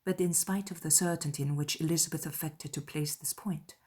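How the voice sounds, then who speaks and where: A woman narrates a classic novel in a scripted literary style. Her voice sits on the low side and has a husky, breathy texture. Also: fairly even intonation, fairly quick, warm tone. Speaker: audiobook narrator.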